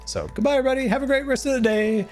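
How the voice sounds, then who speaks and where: A man chatting casually on a game live stream, excitable and talkative, thanking his viewers as he plays. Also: very high-pitched, very fast, soft background music.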